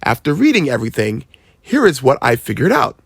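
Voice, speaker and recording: A storyteller as a soft-spoken father. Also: medium pitch, wildly swooping pitch, brisk, creaky, breathy edge, dry recording, clean studio sound, heavily acted. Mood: eager. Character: calm, thoughtful father explaining family history. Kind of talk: children's storybook read-aloud.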